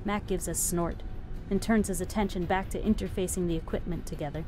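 A woman's voice: on the low side, steady tone, brisk. A woman narrating screenplay stage directions as scripted narration. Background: music.

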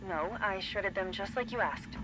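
A man's voice: sits high, normal speed, background noise, close to an everyday voice. A man playing a timid, submissive hospital nurse in a video game, hesitant and deferential. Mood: cowed.

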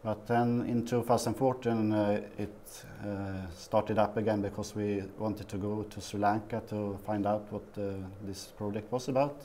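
A Swedish man in his fifties, a guest in a small TV studio interview, talking haltingly with many fillers. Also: on the low side, steady tone, warm tone, slight background noise. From Sweden. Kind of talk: casual interview.

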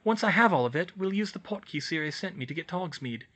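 A man voicing an earnest, bossy teenage witch in a storybook, brisk and insistent. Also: sits high, expressive, a bit bright, voice pushed up. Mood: urgent, reassuring.

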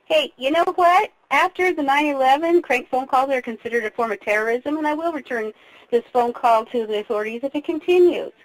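A woman's voice: high-pitched, a little fast, no room sound. A woman working as a shop clerk, talking on a telephone call. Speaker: shop clerk answering phone. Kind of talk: customer service phone talk. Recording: telephone call with faint noise.

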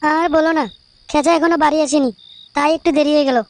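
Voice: high-pitched, fairly even intonation, quick pace, no echo. A performer voicing a coy young wife in a comic cartoon dub. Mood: secretive.

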